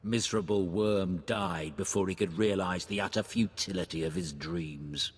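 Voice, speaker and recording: A man's voice: deep voice, normal speed, breathy edge. A man playing a haughty, sardonic warrior in a video game, speaking archly and craving slaughter. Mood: sardonic, disdainful. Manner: arch, sardonic. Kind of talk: video game character acting. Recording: long cavernous reverb, music underneath.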